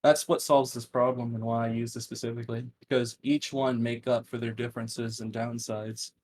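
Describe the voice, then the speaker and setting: A man guest on a podcast with a monotone voice, explaining things in a halting, plain way. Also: medium pitch, a little hiss or hum. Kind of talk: casual interview answers.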